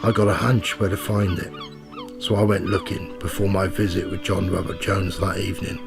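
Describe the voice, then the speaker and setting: Radio drama casting: man to play a cynical muckraking reporter, deepish croaky voice, needling and self-satisfied. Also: level tone, moderate pace, music in the background. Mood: wry, scheming. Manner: sardonic, goading. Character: cynical, smug male tabloid reporter narrating his own investigation. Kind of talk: radio play acting with first-person narration.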